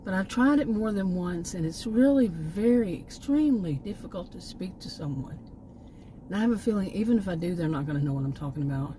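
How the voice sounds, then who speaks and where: A woman's voice: fairly low pitch, lively intonation, a little fast, mellow, a little hiss or hum, poor audio. A middle-aged woman from Texas chatting casually to her camera outdoors near a park, meandering and repeating words as she trails off. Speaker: vlogger. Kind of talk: casual rambling chat to camera.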